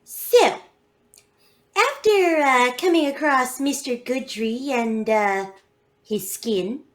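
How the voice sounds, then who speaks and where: A woman's voice: high voice, lively intonation, slow pace. A woman player recapping the last session of a tabletop game, casual and hesitant.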